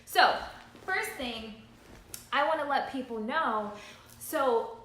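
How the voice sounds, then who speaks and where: A woman's voice: sits high, expressive, slow pace, fairly bright tone, slight background noise. A woman in her thirties giving an earnest, emphatic motivational talk as a business coach, repeating her key points for emphasis. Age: 30s. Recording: roomy echo.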